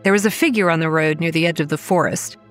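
An actress playing a wary, anxious young campground manager who narrates a horror audio drama in the first person. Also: female voice, sits low, fast, faint music behind, close to an everyday voice. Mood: wary narration.

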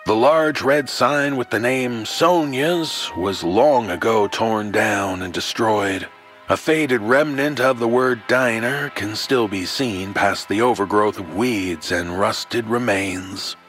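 A man tells a scripted horror tale with theatrical flair over a soft musical score. His mid-pitched voice swoops expressively as he addresses the listener in a wry, folksy manner. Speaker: horror anthology storyteller.

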